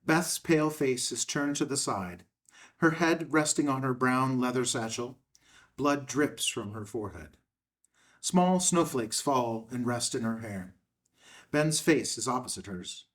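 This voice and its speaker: Male narrator for screenplay action lines, measured and terse, medium pitch, recorded at some distance. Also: animated, fairly bright tone. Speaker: narrator reading stage directions. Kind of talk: scripted narration at a table read.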